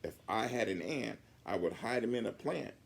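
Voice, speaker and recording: A man's voice: lowish voice, lively intonation, moderate pace, creaky. A man voicing a young schoolboy proudly reciting a silly rhyme in singsong storybook style.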